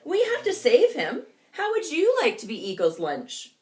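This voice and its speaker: A woman voicing a small, earnest young prairie dog who pleads and shouts urgently. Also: high-pitched, huge pitch swings, moderate pace, voice pushed up.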